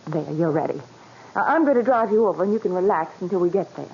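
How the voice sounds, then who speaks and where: A woman acting a caring, anxious doctor's wife, gently insistent, in a 1940s radio drama. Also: fairly low pitch, animated, fast talker. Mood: tender, firm. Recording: muffled, noisy 1940s radio recording.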